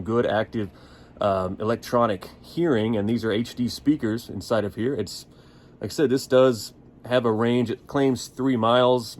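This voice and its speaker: A man's voice: on the low side, a little hiss or hum. An American man giving a casual, unscripted gear review in a folksy, explanatory manner. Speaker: product reviewer.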